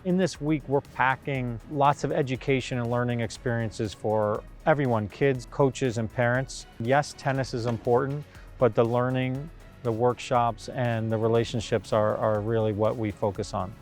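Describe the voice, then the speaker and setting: A man's voice: mid-range voice, fairly even intonation, normal speed, soft background music. A man speaking in an interview as a tournament organiser, emphatic and repeating phrases to stress his points. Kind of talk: interview talk.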